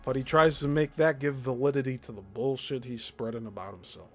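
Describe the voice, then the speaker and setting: A man's voice: mid-range voice, fairly even intonation, a little fast, faint music behind. A man with a Midwestern accent hosting a live stream, commenting bluntly and with exasperation on clips he plays. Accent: Midwestern American. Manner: blunt, exasperated, laughs often. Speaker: show host.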